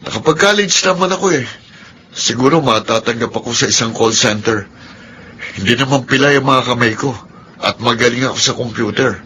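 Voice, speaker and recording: A man's voice: mid-range voice, fairly quick, bright, forceful tone, slight background noise, close to an everyday voice. A man playing an earnest, responsible young eldest brother in radio drama dialogue. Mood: earnest, resolute.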